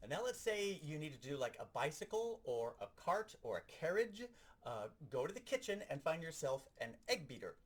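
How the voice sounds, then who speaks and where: A man giving an animated, explanatory, hands-on lesson as a sound effects instructor. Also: fairly high pitch, fairly quick.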